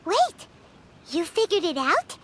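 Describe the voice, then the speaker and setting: A squeaky little fairy companion. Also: female voice, huge pitch swings, slow pace, background noise, exaggerated voice. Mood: excited. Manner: bouncy, exclamatory, curious. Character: tiny excitable female fairy-like companion.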